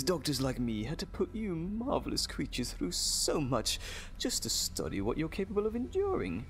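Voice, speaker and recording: An actor playing a sadistic, gloating evil doctor in an audio drama, theatrical and menacing with a gravelly voice. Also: male voice, on the high side, a little hiss or hum, heavily acted. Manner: theatrical, gloating, swooping between croons and outbursts. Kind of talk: audio drama villain acting.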